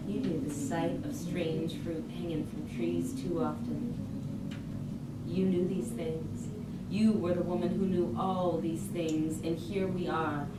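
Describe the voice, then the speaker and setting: A woman's voice: lowish voice, steady tone, slow. A woman performing a staged reading as a grieving daughter, speaking solemnly and poetically to her dead mother. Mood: solemn, reproachful. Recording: distant, echoey room, very noisy.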